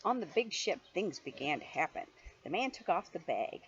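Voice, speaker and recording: A mature woman reading a picture book aloud to a child in an animated, playful way, with chatty asides. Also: fairly low pitch, moderate pace. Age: middle-aged or older. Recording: dull lo-fi home recording, faint noise.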